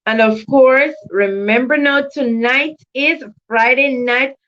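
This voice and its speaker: Female livestream host, higher register, effusive and repetitive greetings, muffled low-fidelity sound. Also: slow, a bit bright. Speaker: livestream host of an educational channel. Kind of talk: livestream greeting of chat viewers. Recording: dull lo-fi livestream audio.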